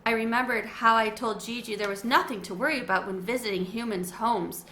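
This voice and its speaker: A woman reading a children's chapter book aloud and then chatting about it in a warm storyteller manner. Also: fairly high pitch, steady tone, a little fast. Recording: echoey room, faint hiss.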